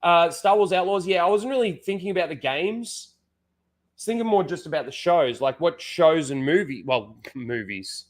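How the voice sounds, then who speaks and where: A man's voice: fairly high pitch, animated, normal speed. A man with a head cold and blocked nose, rambling casually to his chat as a livestream host, full of "like" and restarts.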